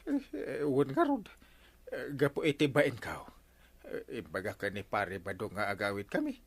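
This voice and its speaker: A man's voice: mid-range voice, big pitch swings, moderate pace, husky. A man playing a flustered, blustering village captain in an Ilocano radio comedy, with a stammering, hesitant delivery and an Ilocano accent. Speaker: comedy drama actor. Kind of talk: Philippine radio comedy drama acting.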